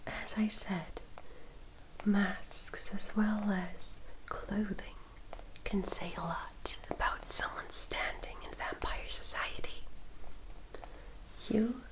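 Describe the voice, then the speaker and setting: A woman acts as a composed female vampire attendant in an ASMR roleplay. She speaks in a breathy whisper at a very unhurried pace. Her manner is formal and caring, like an older sister gently cautioning a newcomer. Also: mid-range voice, fairly even intonation, low-quality recording. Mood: gently instructive. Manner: slow, measured, formal, gently instructive.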